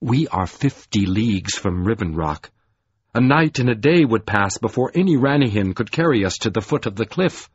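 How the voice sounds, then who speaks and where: A man voicing a grave, stern lord who speaks in measured, formal, old-fashioned sentences, on a dull lo-fi recording. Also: lowish voice, expressive, slightly rough. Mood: solemn. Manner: measured, formal, archaic speech. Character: solemn grave male lord, stern, weary.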